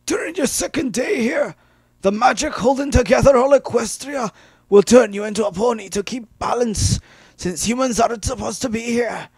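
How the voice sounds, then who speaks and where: A man voicing a stern, solemn old male pony adviser with a husky voice in a story reading. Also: very high voice, moderate pace, darkish tone, dry recording, pitched above the performer's own voice, heavily acted. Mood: stern warning. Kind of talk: dramatic fan-fiction reading.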